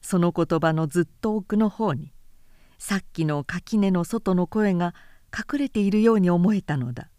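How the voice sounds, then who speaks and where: A woman narrator reading literary fiction aloud in a measured, expressive storytelling manner. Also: lowish voice, smooth, dry recording, clean studio sound. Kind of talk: literary audiobook recitation.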